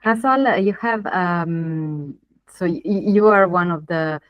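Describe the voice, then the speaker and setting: Woman from Italy, low voice, dry sound, halting moderator on a call. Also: no echo, muffled recording. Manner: halting, many fillers. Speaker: panel moderator. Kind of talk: online panel talk.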